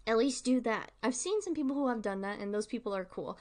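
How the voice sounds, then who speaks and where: A woman streamer talks candidly and casually to her viewers. She moves in a halting way, doubling back on words and repeating them for emphasis, with frequent little asides. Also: on the high side, expressive, a little mellow. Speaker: streamer and artist. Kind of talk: candid casual livestream commentary.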